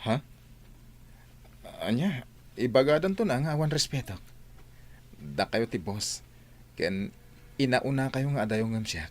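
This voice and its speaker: An actor playing a respectful, hesitant worker who defers politely to a boss in a radio drama. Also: male voice, mid-range voice, animated, measured pace, pauses often. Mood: taken aback, hesitant. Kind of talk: Philippine radio drama acting.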